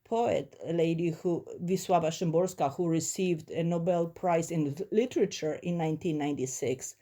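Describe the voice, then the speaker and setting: A woman's voice: fairly low pitch, moderate pace, mellow. A woman in her forties from Poland, speaking English with a Polish accent, giving earnest, reflective answers in an interview. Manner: earnest, reflective, slightly non-native phrasing. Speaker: interviewee, first-generation immigrant.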